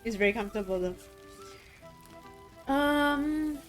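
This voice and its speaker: A woman streaming a video game and chatting casually, excitable and swearing freely, her throat a little rough today. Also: high voice, extremely slow, soft background music, poor audio. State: throat a bit rough, voice deeper than usual. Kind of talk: casual chat while gaming.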